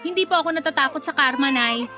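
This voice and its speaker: A woman's voice: high voice, quick pace, music in the background, natural voice. A woman playing a resentful, callous young student mother in a Filipino radio drama, whiny, snappish and defiant.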